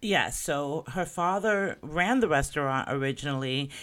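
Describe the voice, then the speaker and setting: A man's voice: a little high, moderate pace, fairly bright tone, smooth. A man hosting a solo podcast, talking in a loose, conversational way and often checking in with his listeners. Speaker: podcast host. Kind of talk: casual solo commentary.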